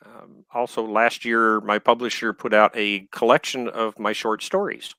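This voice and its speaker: An older man with a Southern drawl speaks over a video call, taking his time with ums and a dry wit. His tone is bright, and the sound is close and dry with no room around it. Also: fairly low pitch, little pitch movement, dry recording. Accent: Southern American. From Texas. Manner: unhurried, hesitant with many ums, dry humor. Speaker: author guest. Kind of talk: live video chat interview.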